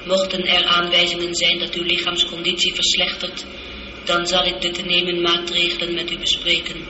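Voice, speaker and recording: Female ship's computer played by a woman, very flat intonation, long reverb, formal, precise, literal, radio drama. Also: fairly low pitch, close to an everyday voice. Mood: calm, literal. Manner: formal, measured, literal-minded. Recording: dull, noisy, echoing old radio recording.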